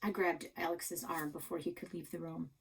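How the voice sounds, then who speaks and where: A woman narrating a children's chapter book aloud as a library storyteller, in an expressive style. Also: fairly low pitch, normal speed, vocal fry, slightly rough.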